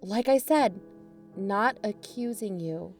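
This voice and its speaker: A woman in scripted audio drama dialogue, conciliatory and pleading, her pitch rising when anxious. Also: lively intonation, slow pace, darkish tone. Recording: music bed underneath.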